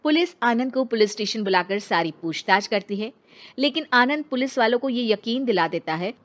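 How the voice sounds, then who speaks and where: A woman's voice: a little high, fairly bright tone. A woman narrating a scripted dramatic story as its storyteller.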